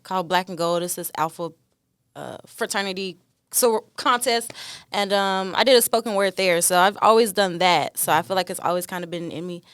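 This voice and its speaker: A woman's voice: fairly low pitch, a bit bright, smooth, dry recording, clean studio sound. A young woman from Dallas, talking loosely and candidly as a guest on a podcast, laughing often and swearing freely. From Dallas, Texas. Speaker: guest rapper. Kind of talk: casual interview chat. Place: podcast studio.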